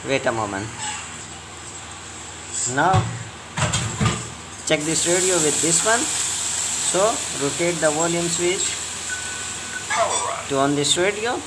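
Slow, low-voiced woman over loud noise. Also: expressive. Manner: halting, short simple phrases. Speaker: repair tutorial presenter. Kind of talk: step-by-step hands-on instruction. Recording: loud background noise, bird and animal sounds.

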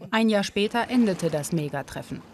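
A woman giving scripted documentary narration in a measured, even storytelling delivery. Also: medium pitch, a little hiss or hum. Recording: narration over loud music.

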